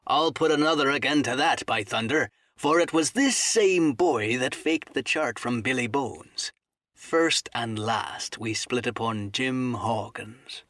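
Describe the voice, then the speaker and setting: A man voicing a cunning, commanding old pirate sea cook who drawls threats in rough seafaring dialect, as in an audiobook. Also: medium pitch, a little slow, a bit bright, no echo, clean studio sound, natural voice. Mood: accusing. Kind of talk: dramatised audiobook reading.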